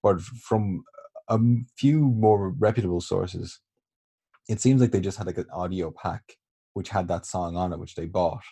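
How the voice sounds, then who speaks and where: A man's voice: low voice, moderate pace, dark tone, slightly rough. A man answering quiz questions in casual chat, hesitant and thinking aloud with fillers. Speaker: quiz contestant.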